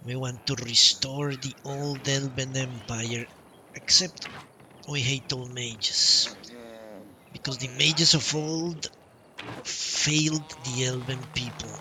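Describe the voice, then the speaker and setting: A man's voice: medium pitch, fairly even intonation, takes it slowly, noisy background. A man giving live gameplay commentary as a streamer, sometimes reading dialogue choices aloud. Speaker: game streamer. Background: game music.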